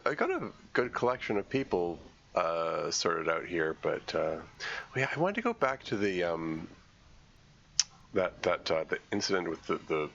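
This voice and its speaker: A man streaming a game, congested from a cold, commenting live and thinking aloud in a halting way as he works through puzzles. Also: sits low, vocal fry, fairly bright tone, muffled recording.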